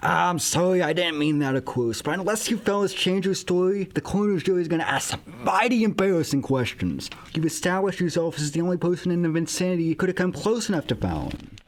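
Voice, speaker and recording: A man acts as an exasperated police captain in a radio drama. His voice sits fairly high for a man, and he questions bluntly, with gruff authority and accusation. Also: lively intonation, quick pace, few pauses. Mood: frustrated. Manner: blunt, interrogating. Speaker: amateur student actor. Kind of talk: radio play acting.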